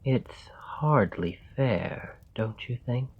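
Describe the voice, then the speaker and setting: A man playing a calm, calculating royal in an ASMR roleplay, speaking in a measured, formal and deliberate way. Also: medium pitch, breathy edge, highs cut off, exaggerated voice. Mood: bargaining, earnest. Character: calm, calculating male royal bargaining with his assassin. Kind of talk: ASMR roleplay, scripted dramatic monologue.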